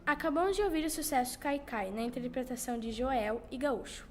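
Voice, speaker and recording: A woman's voice: high voice, brisk. A young teenage girl with a Brazilian accent reading scripted narration for a 1940s-style school radio drama.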